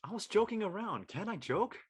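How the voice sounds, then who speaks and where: A woman's voice: medium pitch, animated, fairly quick, breathy edge. A woman playing a cocky, teasing young college bro in an audio drama, brash and slangy. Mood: needling. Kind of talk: acted audio drama dialogue.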